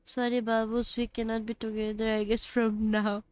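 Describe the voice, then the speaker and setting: Young woman, Indian accent, game streamer, playful teasing chat, high-ish voice. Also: flat delivery, moderate pace, darkish tone, smooth, dry recording. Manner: teasing, playful, mixes English and Hindi.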